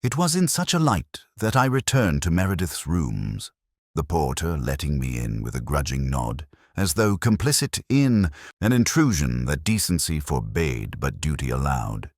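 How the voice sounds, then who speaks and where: A man reads a scripted first-person detective story in a measured, solemn, reflective manner, as a literary narrator. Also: extremely low pitch, huge pitch swings, darkish tone, dry recording, clean studio sound. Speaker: audiobook-style narrator.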